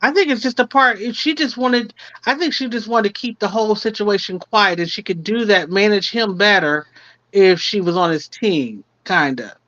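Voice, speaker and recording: A woman's voice: medium pitch, moderate pace, fairly bright tone, no echo. A woman chatting casually as a livestream panelist, conversational, working through her opinions and acting out what people might think. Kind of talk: casual chat. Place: remote livestream call, dry sound. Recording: muffled lo-fi livestream audio.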